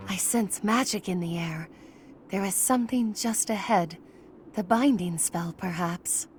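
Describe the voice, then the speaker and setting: A woman's voice: medium pitch, measured pace, faint music behind, close to an everyday voice. A woman playing a formal, self-abasing young noblewoman mage in a video game, with ornate, apologetic phrasing. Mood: meek, uncertain. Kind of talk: English video game voice acting.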